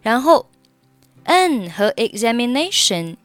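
Woman, Mandarin speaker, English pronunciation teacher, careful repetition, dry close mic, soft music behind. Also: a little high, sing-song, dry recording. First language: Mandarin Chinese. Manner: slow, careful repetition of words and phrases. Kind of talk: pronunciation lesson, reading dialogue lines and explaining linking.

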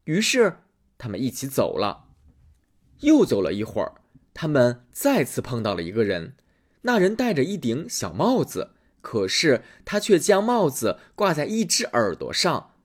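A man reading a fairy tale aloud as a storyteller, expressive and animated. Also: mid-range voice, unhurried.

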